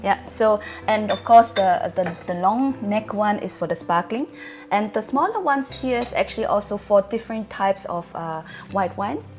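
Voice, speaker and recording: Halting woman explaining, faint music behind. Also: medium pitch, animated, darkish tone. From Germany. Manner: hesitant, halting, searching for words. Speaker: wine-savvy guest explaining to host. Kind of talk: casual explanatory conversation.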